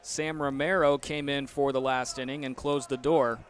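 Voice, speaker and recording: A man's voice: medium pitch, flat delivery, normal speed. A young American man doing live sports play-by-play commentary. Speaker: play-by-play announcer. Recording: outdoor ambient noise behind commentary. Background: ballpark or arena ambience.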